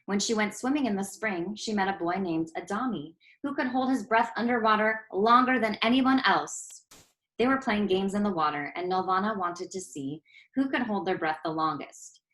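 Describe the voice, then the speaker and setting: Female children's storyteller: mid-range pitch, expressive read-aloud delivery, clear phrasing. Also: moderate pace, rough recording.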